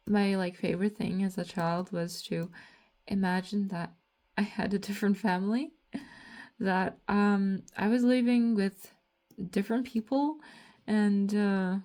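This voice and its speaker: A young woman with a Latvian accent talks to camera after a night shift, worn out and unhurried. Her voice sits at an ordinary middle pitch, and she speaks in slow, halting bits. She stops often for fillers and soft laughs. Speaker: vlogger. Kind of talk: rambling casual monologue.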